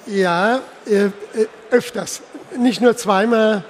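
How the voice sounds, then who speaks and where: High-pitched man in his sixties. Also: takes it slowly. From Palatinate, Germany. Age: 60s. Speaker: interview guest, insurance executive. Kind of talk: casual on-stage conversation. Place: live stage at a trade fair. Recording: faint background noise, live event.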